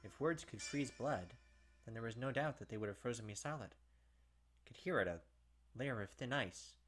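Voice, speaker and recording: A man hosts a game read-through in a medium-pitched voice. He narrates dramatically and drops in casual, sweary asides, with music playing behind him. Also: expressive, moderate pace, a bit bright. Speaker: gaming video host.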